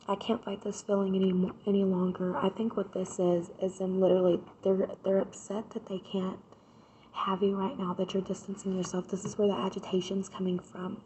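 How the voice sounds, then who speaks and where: A woman, hoarse from a cold, doing a casual tarot reading and chatting straight to her viewers. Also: medium pitch, dark tone, a little hiss or hum, low-quality recording. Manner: chatty, addresses viewers directly with repeated "right?". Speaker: tarot reader.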